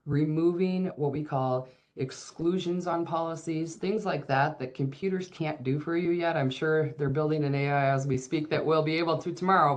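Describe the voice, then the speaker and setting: Casual woman with a very low voice on a video call. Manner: casual and breezy, addressing the audience directly. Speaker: independent insurance broker. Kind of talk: webinar presentation.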